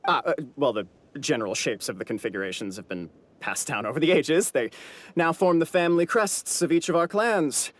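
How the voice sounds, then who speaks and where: A man's voice: on the high side, fairly quick, close to an everyday voice. An actor playing a pompous, wordy clansman proud of his ancestry in a video game, with music behind him. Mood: lecturing. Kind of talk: theatrical video game character acting.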